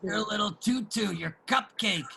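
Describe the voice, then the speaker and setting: A man's voice: sits high, measured pace, gravelly, pushed and bright, slightly rough, exaggerated voice. A man playing a combative, jealous homeless man who taunts in street vernacular in a dramatic play reading. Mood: scornful, heated.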